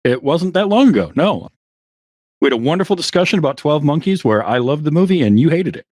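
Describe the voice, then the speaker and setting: Lively, friendly male podcast guest. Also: a little high, quick pace, dry recording, clean studio sound. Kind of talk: casual interview chat.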